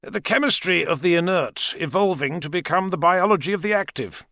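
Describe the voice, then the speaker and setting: A man's voice: high-pitched, lively intonation, a little fast, vocal fry, sharp tone, no echo, clean studio sound, voice pushed up, exaggerated voice. A man voicing an assured male scientist who lectures emphatically, as audiobook dialogue. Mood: emphatic.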